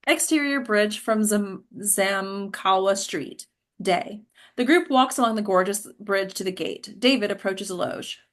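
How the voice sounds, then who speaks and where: A woman with a medium-pitched voice reads stage directions from a screenplay. Her delivery is scripted narration, setting scenes and describing action. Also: unhurried, a bit bright.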